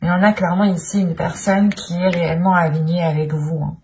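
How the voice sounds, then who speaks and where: A woman's voice: on the low side, flat delivery, a little fast, darkish tone. A woman giving an improvised tarot reading as a channel host, speaking in a searching way and often repeating her phrases.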